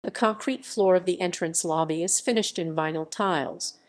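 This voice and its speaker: A woman reads scripted reference material as a narrator. Her voice sits a little lower than most women's. The delivery is formal and impersonal, in the style of an encyclopedia entry. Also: moderate pace, darkish tone.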